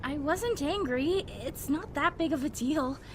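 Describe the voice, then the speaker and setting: A woman's voice: sits high, lively intonation, unhurried. A woman playing an earnest young survivor in an audio drama, pleading and firm as she keeps the peace. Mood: conciliatory. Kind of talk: amateur audio drama acting. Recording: faint background noise.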